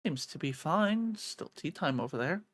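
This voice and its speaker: Cheshire cat-girl for a visual novel, acted by a streamer: sly, cryptic, leisurely, animated pitch, dry recording. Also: normal speed, dry recording, lightly acted. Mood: teasing.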